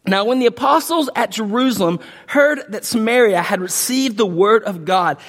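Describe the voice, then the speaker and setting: Man pastor, high voice, sick and a bit husky, earnest preaching, long hall reverb. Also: moderate pace, a bit bright. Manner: earnest, stumbling restarts, rising emphasis. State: sick, voice deeper than usual. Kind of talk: verse-by-verse sermon.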